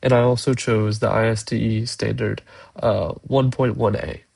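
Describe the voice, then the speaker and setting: A man's voice: lowish voice, fairly even intonation, moderate pace, gravelly, darkish tone, no room sound. Stuffy-nosed young man, hesitant. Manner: hesitant, many "uh" fillers. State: congested, stuffy nose from a cold. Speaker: education student presenting a lesson. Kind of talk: screen-recorded lesson walkthrough. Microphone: computer mic, voice-over on screen recording.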